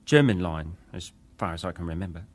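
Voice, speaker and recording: An actor playing a ship's wireless operator, a respectful but defensive witness giving clipped testimony in a dramatised hearing. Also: male voice, low voice, animated, brisk. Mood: respectful, matter-of-fact. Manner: clipped, respectful, matter-of-fact.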